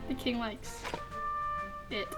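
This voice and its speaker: A woman's voice: slow pace, over music, poor audio. A woman giving casual let's play commentary, reacting aloud and talking to herself as she goes. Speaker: gaming video host.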